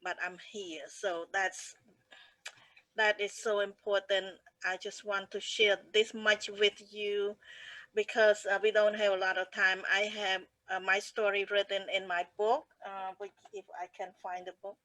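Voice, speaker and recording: Slow, bright woman. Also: medium pitch, flat delivery, dry recording, low-quality recording. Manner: earnest, simple phrasing. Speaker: guest speaker. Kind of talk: personal testimony.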